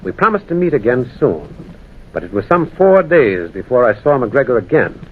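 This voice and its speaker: An American actor playing a sober, skeptical railroad man in an old-time radio ghost drama, measured, grave and dramatic. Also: medium pitch, very animated, phone-quality sound, close to an everyday voice. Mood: somber, ominous. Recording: thin, noisy vintage radio recording.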